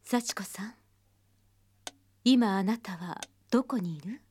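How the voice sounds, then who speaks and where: An actress playing a calm therapist in a radio drama, guiding a patient with measured, gently probing questions. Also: female voice, mid-range voice, slight background noise, close to an everyday voice.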